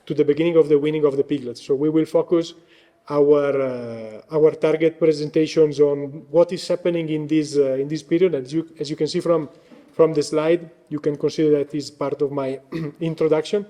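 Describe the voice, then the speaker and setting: A man's voice: fairly high pitch, fairly even intonation, normal speed, warm tone. A man with Italian as his first language, giving a conference presentation as guest speaker while losing his voice, hesitant with frequent fillers.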